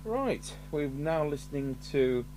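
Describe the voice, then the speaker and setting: A man's voice: fairly high pitch, slow pace. A man from the UK thinking aloud in a matter-of-fact way as he gives a hands-on workbench demonstration. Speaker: radio repair vlogger. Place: indoor radio repair bench. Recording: noisy, with steady hum.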